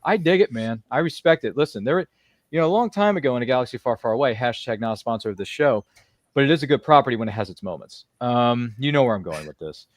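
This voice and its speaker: A man's voice: medium pitch, animated, fast, no echo, clean studio sound. A man hosting a casual livestream chat in a jokey, chummy, rambling way. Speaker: podcast host.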